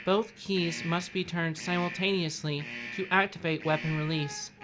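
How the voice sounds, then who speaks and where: A woman's voice: fairly low pitch, steady tone, moderate pace, music in the background, natural voice, rough recording. A woman playing a formal, impassive automated missile launch announcer in an audio drama.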